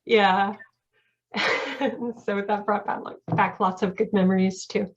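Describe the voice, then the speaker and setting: A woman's voice: medium pitch, level tone, unhurried. Woman chatting, slightly dull call audio. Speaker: panel participant, conference staffer. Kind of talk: casual chat.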